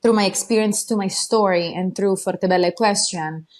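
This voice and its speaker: A woman's voice: mid-range voice, moderate pace, a little mellow. A woman from Italy with an Italian accent, a native Italian speaker, talking eagerly as a guest in a casual interview, with many fillers and restarts. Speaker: guest, horse trainer.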